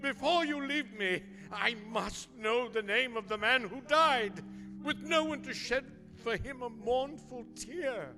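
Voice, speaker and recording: Actor as anguished old man, very high pitch, pleading, halting, radio play, long hall reverb. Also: music in the background. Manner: pleading, halting, full of questions.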